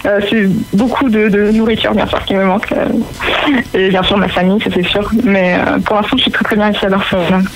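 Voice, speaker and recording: A woman answering interview questions over the phone, hesitant and soft, with many fillers. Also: mid-range voice, little pitch movement, brisk, rough recording. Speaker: guest on the phone. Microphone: telephone.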